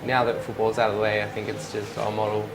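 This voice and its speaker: A young Australian man, a fashion model, answering interview questions in a relaxed, hesitant way with many restarts. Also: on the low side, fast, gravelly. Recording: loud ambient noise behind.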